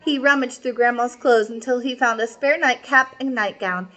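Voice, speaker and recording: High-voiced woman reading a children's story. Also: moderate pace, sharp tone. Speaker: read-aloud storyteller. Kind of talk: animated children's story reading with casual asides.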